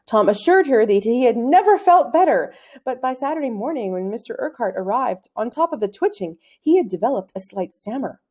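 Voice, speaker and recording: Female storybook narrator, mellow tone, lively and expressive pitch movement. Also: a little fast, hardly any pauses. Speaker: read-aloud narrator. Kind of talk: expressive storybook read-aloud.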